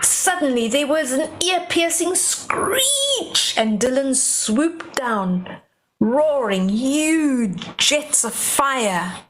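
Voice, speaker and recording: An older woman tells a children's story in a fairly high voice, taking her time. She reads dramatically and explains simply, with faint noise behind her. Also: sing-song, very slow, a bit bright, rough recording. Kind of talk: storybook read-aloud with asides to young children.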